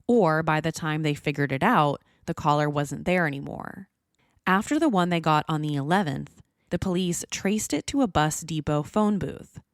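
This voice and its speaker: A woman's voice: deep voice, moderate pace, no echo, clean studio sound. A woman hosting a podcast, reading scripted true-crime narration. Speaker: podcast host.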